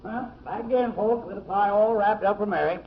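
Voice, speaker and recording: An actor playing a folksy, talkative, excitable small-town storekeeper in an old-time radio mystery. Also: male voice, high voice, a little fast, slight background noise. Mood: folksy, chatty. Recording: muffled old radio recording.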